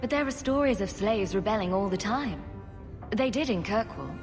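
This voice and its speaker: Female game hero, gentle and curious, mid pitch, played by a woman; reverby, loud music bed. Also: animated, normal speed, close to an everyday voice. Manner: gentle, probing questions. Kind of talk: video game cutscene dialogue.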